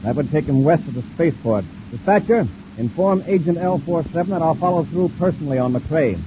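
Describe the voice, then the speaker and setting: An actor playing a commanding space patrol officer, formal and clipped, in the style of a 1950s radio adventure serial. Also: male voice, a little high, lively intonation, fairly quick, natural voice. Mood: brisk, commanding. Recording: muffled, noisy old radio broadcast recording.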